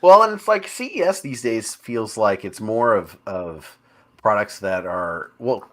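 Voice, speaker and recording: A man with a low voice joins a tech podcast as a guest. His pitch moves about in a lively way. He talks in a thoughtful, explaining manner. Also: unhurried. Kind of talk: casual panel chat.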